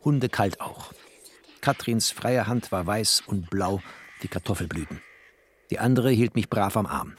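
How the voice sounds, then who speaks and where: A man's voice: lowish voice, lively intonation, unhurried, husky. A man narrating a literary audio drama in a wry, descriptive way.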